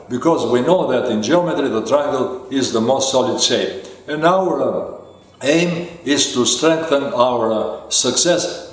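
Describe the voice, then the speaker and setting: A non-native English-speaking man delivers a motivational lecture as a life coach, pausing often and filling the gaps with hesitant sounds. His voice sits at an ordinary middle pitch. The recording carries heavy echo, as if made in a bare, reverberant room. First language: not English. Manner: slow and deliberate with frequent hesitations and fillers. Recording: very echoey room.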